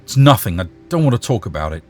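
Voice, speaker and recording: A man voicing a sulky, upset ten-year-old schoolboy in a storybook, gruff, grumbling and whiny. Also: fairly low pitch, very animated, fast, faint music behind. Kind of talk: storybook character voice.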